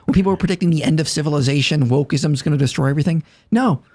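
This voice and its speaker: A man's voice: a little high, rapid-fire, darkish tone. A man in his thirties, a podcast guest, explaining his views in an earnest, conversational way with frequent chuckles. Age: 30s. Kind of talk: casual conversational chat.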